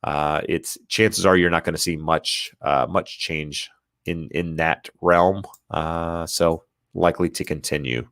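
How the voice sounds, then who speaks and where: Deep-voiced man, stuffy and nasal. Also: steady tone, a little slow, a bit bright, no echo, studio quality. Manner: hesitant, many fillers and restarts, reads out viewer questions. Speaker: real estate livestream host. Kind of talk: casual live Q&A.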